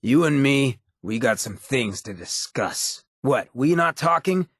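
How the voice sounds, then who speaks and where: Very raspy streetwise ghoul rogue. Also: male voice, medium pitch, animated, measured pace, fairly bright tone, no room sound, clean studio sound. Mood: direct, casual. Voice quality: raspy, gravelly. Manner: streetwise, colloquial, swearing drawl. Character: roguish ghoul man, streetwise companion, blunt but loyal. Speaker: companion character in a video game. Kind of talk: video game dialogue acting.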